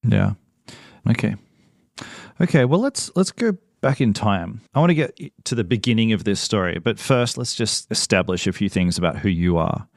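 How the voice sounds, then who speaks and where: A man hosting a podcast, giving an earnest, measured narration and interviewing a guest. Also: fairly low pitch, animated, gravelly, no echo, clean studio sound. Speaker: podcast host.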